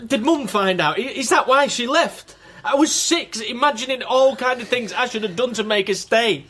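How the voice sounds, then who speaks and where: A man's voice: very high-pitched, moderate pace, sharp tone, husky, full character voice. An actor with a British accent playing a hurt grown son in a radio drama, pressing his father with emotional questions. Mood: hurt pleading.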